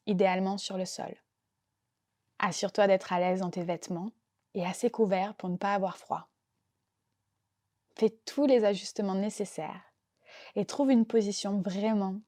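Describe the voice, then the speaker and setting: A woman gently and calmly guiding a relaxation meditation as a yoga nidra teacher, unhurried and soothing in her delivery. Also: mid-range voice. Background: soft background music.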